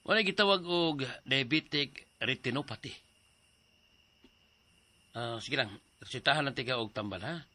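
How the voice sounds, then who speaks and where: A matter-of-fact doctor in a radio drama, bright forceful voice. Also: male voice, mid-range voice, normal speed, long pauses. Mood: explaining. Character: calm male doctor giving diagnosis.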